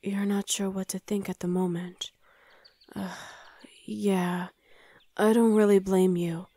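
Soft, mellow demon girlfriend, acted by a woman. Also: medium pitch, flat delivery, takes it slowly, no room sound. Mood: reassuring, hesitant. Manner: hesitant, soothing, often dropping to a breathy whisper. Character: flustered, gentle young female demon hell knight girlfriend. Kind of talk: intimate ASMR roleplay addressed to the listener.

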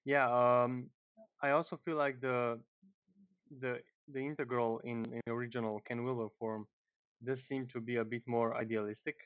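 A man's voice: medium pitch, little pitch movement, moderate pace, clear, resonant tone, dry recording. A thirty-year-old man with a Slovenian accent introduces himself informally on a group call, hesitantly, often repeating words. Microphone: internet or phone call, headset. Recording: muffled narrow phone-like call audio.

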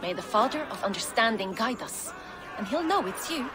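A woman's voice: a little high, animated, brisk, natural voice. A woman playing a guarded, brusque Norse warrior in a video game, speaking in a terse, commanding way. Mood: approving, commanding. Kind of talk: dramatic video game acting. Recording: noisy outdoor ambience, crowd behind.

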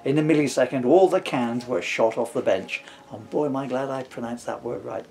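A man's voice: mid-range voice, brisk, darkish tone, a little hiss or hum. A man in his fifties reading a comic storybook aloud in a playful way, with wry asides. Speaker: author reading from own comic book. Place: living room sofa at home. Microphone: camera a short distance away.

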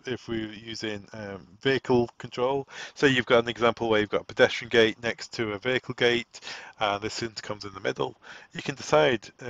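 A man talks through a technical setup in an informal, explanatory way, as if thinking aloud. His pitch sits in the middle of the male range. The recording is dry and somewhat dull, with a lo-fi sound. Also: a bit bright, dry recording. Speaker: product trainer giving a setup walk-through. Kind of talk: informal software tutorial narration.

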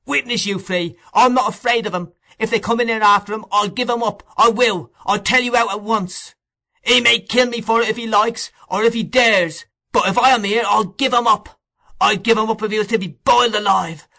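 A man playing a shrill, frantic young boy. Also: lively intonation, measured pace, long pauses, bright, forceful tone, husky, heavily acted. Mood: defiant, frantic. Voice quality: shrill, shrieking. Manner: frantic, emphatic exclamations. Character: terrified, defiant young boy screaming accusations at a murderer.

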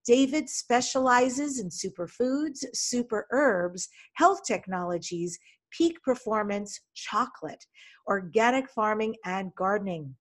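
A woman's voice: medium pitch, animated, a little slow. A middle-aged woman hosting a podcast interview from a room at home, speaking into a desk microphone on a video call in an upbeat, enthusiastic way. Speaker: podcast host. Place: home room with folding screen. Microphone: studio desk mic in front, video call.

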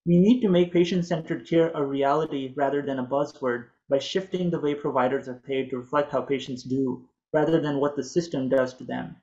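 A man in his thirties whose first language is Urdu, reading a prepared talk in a measured, earnest way over a video call from a home office. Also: mid-range voice, a little mellow, highs cut off. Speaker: physician presenter.

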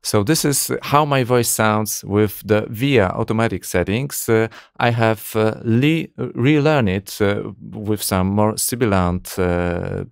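Low-voiced man reviewing audio gear. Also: takes it slowly, creaky, few pauses, clean tone, no echo, clean studio sound. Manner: hesitant, many uh fillers, slightly non-native phrasing. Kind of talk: casual tech review talk. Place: small home studio, indoors. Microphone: studio condenser mic on arm.

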